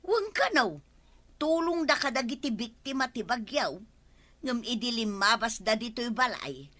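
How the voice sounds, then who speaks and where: A woman's voice: on the high side, very animated, a little slow, pushed and bright, exaggerated voice. A woman with an Ilocano Filipino accent playing a nosy, nagging village wife in a radio comedy drama. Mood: nagging, explaining.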